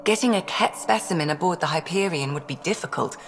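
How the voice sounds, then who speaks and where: A woman's voice: on the low side, fairly quick, in a large hall, faint music behind, close to an everyday voice. A woman playing an eager, nervous alien medic and science enthusiast in a video game, hesitant yet keen. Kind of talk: video game dialogue acting.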